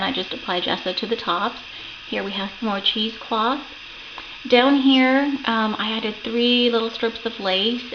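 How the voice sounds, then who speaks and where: A woman with a croaky voice from a sore throat, chatting casually as she shows a handmade craft project, rambling with plenty of ums and self-corrections. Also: mid-range voice. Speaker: crafting channel host. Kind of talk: casual craft show-and-tell. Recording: dull lo-fi home recording with noticeable background hiss.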